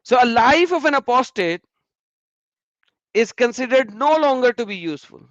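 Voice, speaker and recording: A man hosting a casual discussion, animated and repeating his phrases. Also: very high voice, moderate pace, dry recording. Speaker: host. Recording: muffled lo-fi sound.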